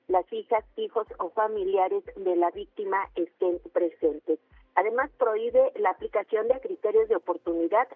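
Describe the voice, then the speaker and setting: A reporter with a Mexican accent reading a formal news report over the phone. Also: female voice, medium pitch, dry recording. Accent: Mexican Spanish. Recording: tinny phone line, dry.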